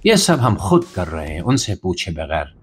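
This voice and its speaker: A man's voice: low voice, very animated, moderate pace, husky. A man with a Pakistani accent delivering satirical news commentary as a podcast host, in a sarcastic, theatrical, rhetorical manner.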